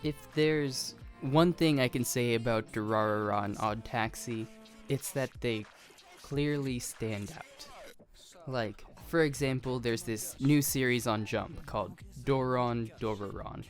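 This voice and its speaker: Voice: medium pitch, a little slow. Conversational anime video essayist over music. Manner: conversational with self-aware asides. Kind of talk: scripted commentary on anime. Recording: music behind the voice.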